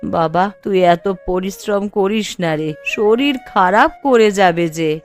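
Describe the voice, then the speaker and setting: Cartoon casting: actress as gentle, anxious mother-in-law, middle-aged, mid-pitched, unhurried speech over soft music. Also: close to an everyday voice. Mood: motherly concern. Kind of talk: Bengali cartoon family drama dialogue.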